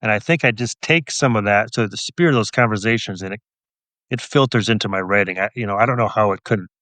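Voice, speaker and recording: A man's voice: on the low side, fast, sharp tone, dry recording. A middle-aged man answering as an interview guest in a casual, wry, understated way. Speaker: guest, crime novelist and police officer.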